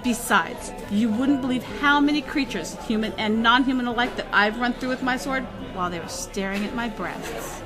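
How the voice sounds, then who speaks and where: A woman's voice: on the high side, moderate pace, hardly any pauses, natural voice. A woman acting a boastful, sexy female sword fighter in a comic fantasy audio drama, with a bold and blunt delivery. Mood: confident, boastful. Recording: music under the voice.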